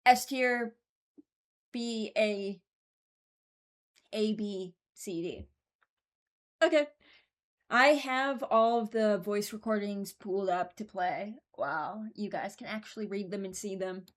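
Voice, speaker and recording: A woman's voice: medium pitch, takes it slowly, pauses often. Casual, mock-serious woman, frank and hesitant. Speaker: YouTuber ranking game voice lines. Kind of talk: casual rambling commentary.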